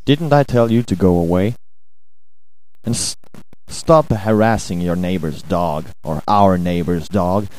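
A young Swedish man with a Swedish accent, hungover, rambling crude thoughts into a home mic in a hesitant, deadpan way. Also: low-pitched, measured pace, no room sound, rough recording. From Sweden. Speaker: amateur audio ranter. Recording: home recording with buzz and music.

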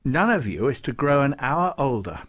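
A man's voice: mid-range voice, big pitch swings, moderate pace, a bit bright, voice pushed up. A man voicing a solemn, kindly storybook saint who makes formal pronouncements. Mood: stately, benevolent. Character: solemn, kindly male saint presiding over council. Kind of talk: storybook character voice.

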